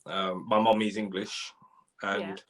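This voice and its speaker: A man in his forties from Ireland, telling his life story in a live interview, halting, with many restarts and repeated words. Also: fairly low pitch, little pitch movement, a bit bright, low-quality recording. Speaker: guest.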